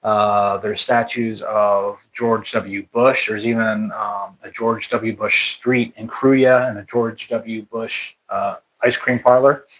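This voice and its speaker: Bright-voiced American man rambling casually. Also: on the low side, flat delivery, slow pace, hardly any pauses, slight background noise, rough recording. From United States. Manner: rambling, frequent restarts and fillers. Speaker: channel host. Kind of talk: casual livestream chat. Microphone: livestream mic.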